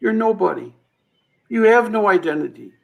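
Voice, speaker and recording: Man playing a high, creaky guardian angel. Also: big pitch swings, moderate pace, lo-fi sound, full character voice. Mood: patient, earnest. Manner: earnest, explanatory. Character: gentle guardian angel, patiently explaining to a distraught man. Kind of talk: old-time radio play acting.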